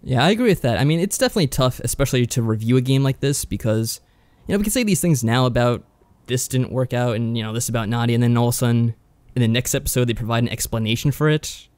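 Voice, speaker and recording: A man co-hosting a podcast in a casual review chat, speaking in an agreeable, measured way. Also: medium pitch, lively intonation, a little mellow, no room sound. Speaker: podcast co-host.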